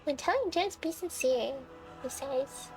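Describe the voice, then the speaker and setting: A woman's voice: high-pitched, lively intonation, a little slow, poor audio. A woman streaming a game, chatting casually and playfully as she reacts and rambles to viewers. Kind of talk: casual live reaction chat. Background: game music.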